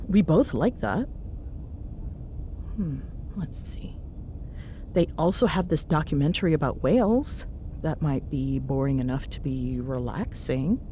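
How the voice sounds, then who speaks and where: Woman acting a lively, low-pitched teasing young tsundere. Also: unhurried, long pauses, slight background noise. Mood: fond, sarcastic banter. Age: young adult. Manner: sarcastic, teasing, foul-mouthed banter. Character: young tsundere ghost-hunting woman, teasing, bossy, secretly fond. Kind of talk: audio roleplay spoken to a listener.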